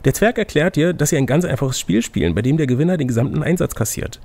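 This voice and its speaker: A man's voice: on the high side, animated, quick pace, darkish tone. A man acting as game master, reading an adventure gamebook aloud like a storybook, with playful, chatty asides. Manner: playful, chatty asides between read passages. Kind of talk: storybook narration mixed with casual banter.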